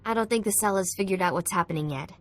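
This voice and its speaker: A woman's voice: mid-range voice, fast, lightly acted. An actress playing a wary, wry young woman informant in a video game, with clipped, casual phrasing. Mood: businesslike. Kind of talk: video game cutscene acting.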